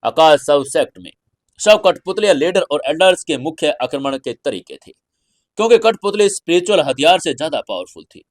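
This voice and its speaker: An Indian man narrates a fantasy adventure in a slightly high male voice. His delivery is theatrical and deliberate, like a dramatic audio story. The recording is dry and close, with very little room sound. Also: big pitch swings, no echo.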